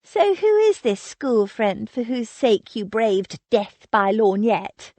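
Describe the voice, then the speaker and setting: A woman's voice: fairly high pitch, sing-song, slow, a little mellow, no echo, pitched above the performer's own voice, heavily acted. A woman voicing an eager, impulsive young woman. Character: warm, eager young woman, loyal cousin.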